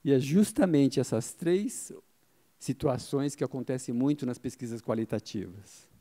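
A man's voice: mid-range voice, normal speed, warm tone. A middle-aged man giving a conference talk into a handheld microphone, explaining points and answering his own questions. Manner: explanatory, asks himself questions and answers them, frequent "tá?" tags. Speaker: speaker giving a marketing talk. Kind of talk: conference talk to a live audience. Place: event hall with a seated audience.

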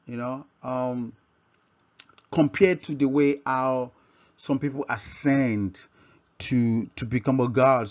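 A man with a Nigerian accent giving an informal scripture lesson as a religious teacher, halting and often repeating and rephrasing himself. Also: mid-range voice. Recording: dull, lo-fi microphone sound.

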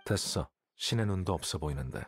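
A man voicing a cool, blunt, calculating young scholar in a video game, with a detached, measured, condescending manner. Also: sits low, lively intonation, a little hiss or hum. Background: game music.

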